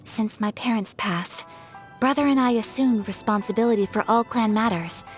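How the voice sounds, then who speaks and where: Game role, female actor: young noblewoman of a samurai clan, higher register, refined, soft-spoken, politely formal, over background music. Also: moderate pace, natural voice. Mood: solemn, earnest. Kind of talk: scripted video game character voice lines. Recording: music behind the voice.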